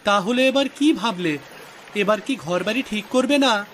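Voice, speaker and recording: A man's voice: high-pitched, animated, moderate pace, fairly bright tone, slight background noise. A man playing a concerned younger village fisherman in a cartoon story, inquisitive and chiding. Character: concerned unmarried younger fisherman, teasing and helpful. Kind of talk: scripted cartoon story acting. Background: music.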